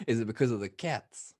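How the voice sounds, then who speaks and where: A young man co-hosting a movie podcast in casual chat, laughing often and tossing in quick asides. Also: medium pitch, darkish tone. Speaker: podcast co-host.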